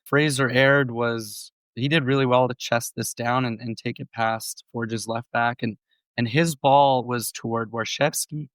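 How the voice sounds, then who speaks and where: A man's voice: mid-range voice, steady tone, fairly bright tone, no room sound, low-quality recording. A man, co-hosting a casual sports podcast and breaking down match plays, still getting over a cold. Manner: measured, thoughtful match analysis. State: recovering from a cold, voice on the mend. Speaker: podcast co-host.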